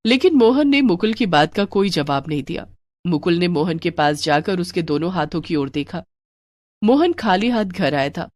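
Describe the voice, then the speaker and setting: A woman's voice: lowish voice, lively intonation, normal speed, a little mellow, dry recording. A woman narrating a scripted moral bedtime story for children.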